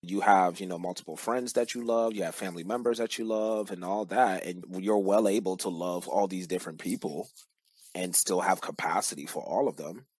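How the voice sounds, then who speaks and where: A man's voice: low-pitched, steady tone, moderate pace, darkish tone, husky, dry recording. A man from Cameroon speaking as a podcast guest in casual, reflective talk, with slangy, emphatic storytelling.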